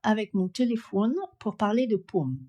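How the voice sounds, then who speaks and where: A woman's voice: mid-range voice, animated, normal speed, dark tone. A woman speaking in a direct, critical way, questioning and demonstrating as a pronunciation coach. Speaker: pronunciation coach testing a voice assistant.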